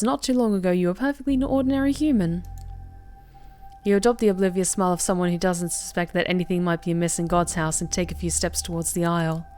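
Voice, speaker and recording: A young woman streamer reading a visual novel aloud, with expressive bursts of excited commentary. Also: on the low side. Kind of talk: game narration with live reactions.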